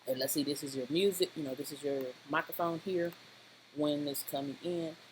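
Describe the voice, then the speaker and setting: Halting tutorial presenter over noisy audio. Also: mid-range voice, fairly even intonation. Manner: rambling, halting, often checking with "okay?". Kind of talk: informal screen-recorded software tutorial, talking through steps. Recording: clearly noisy home-style voice-over recording.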